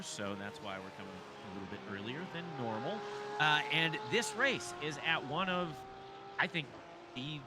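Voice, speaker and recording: Chatty man commentating over loud engines. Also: mid-range voice. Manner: casual, chatty, thinks aloud with restarts. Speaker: sim racing commentator. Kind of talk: solo race commentary. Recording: loud race car noise behind. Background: sim race car engines.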